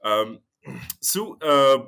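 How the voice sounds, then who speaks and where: A man's voice: mid-range voice, sing-song, very slow, bright, forceful tone, clear, resonant tone. A man hosting a podcast, reading a guest introduction and then asking loose, rambling questions with fillers. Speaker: podcast host. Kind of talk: interview hosting.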